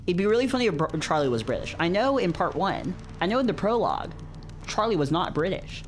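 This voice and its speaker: A man's voice: sits high, sing-song, a little fast, a little hiss or hum. A man streaming a read-along with casual, rambling, jokey commentary, often repeating himself for emphasis. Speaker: streamer reading visual novels aloud. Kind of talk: casual live commentary mixed with dramatic read-aloud.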